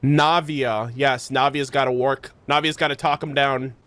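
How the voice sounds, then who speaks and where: A woman streamer giving casual, excitable commentary as she plays through a game story, often interjecting. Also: very deep voice, normal speed. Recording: game music behind the voice.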